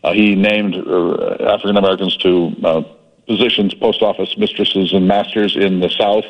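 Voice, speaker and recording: Low-voiced male historian guest, measured, dry wit. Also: level tone, lo-fi sound. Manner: measured, wry humor. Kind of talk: radio interview.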